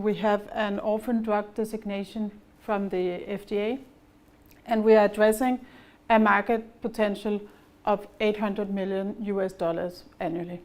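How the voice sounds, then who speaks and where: A middle-aged woman from Denmark with a Danish accent, giving a measured, explanatory company presentation at an investor conference. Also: mid-range voice, a little mellow. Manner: measured and explanatory, with occasional fillers and restarts.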